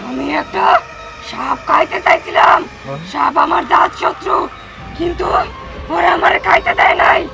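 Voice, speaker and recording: A performer voicing a menacing, vengeful man-eating ghost with a growling shout in an amateur horror film. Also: very high voice, normal speed, vocal fry, over loud music, full character voice, low-quality recording. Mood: gloating, hungry. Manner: threatening, rustic colloquial speech. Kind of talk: amateur horror-comedy short film acting.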